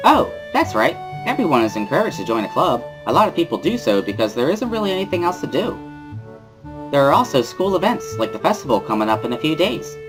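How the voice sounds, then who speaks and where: Man putting on a giggly, high-pitched schoolgirl voice. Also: sing-song, fast talker, over music, low-quality recording. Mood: helpful, perky. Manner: exuberant, sing-song with big laughs. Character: bubbly teenage schoolgirl, loud, giggly, ditzy.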